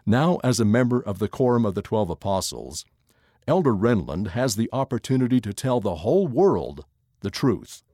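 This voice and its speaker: A man giving a scripted narration of an article in a measured, expressive reading voice. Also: on the low side, no echo, studio quality.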